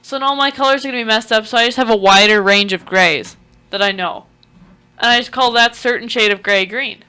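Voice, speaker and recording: A woman's voice: fairly high pitch, brisk, sharp tone, clear, resonant tone. A young woman co-hosting a radio show in casual chat, musing aloud and filling her thoughts with "like". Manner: musing, lays out ideas with many "like"s.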